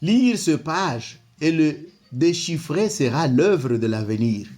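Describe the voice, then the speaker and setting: A man's voice: a little high, big pitch swings, takes it slowly, darkish tone, soft background music. A man, not a native French speaker, giving commentary and reading from an old document, hesitant with many repeated words. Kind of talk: slow spoken commentary reading from a book.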